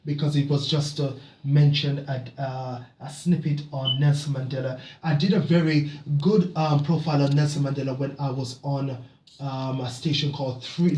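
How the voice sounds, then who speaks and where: A man from Jamaica, giving a reflective motivational talk to camera, halting with many fillers and restarts, then building into emphatic repeated phrases. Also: a little high, darkish tone, far from the mic. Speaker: motivational speaker. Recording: echoey room, off-mic.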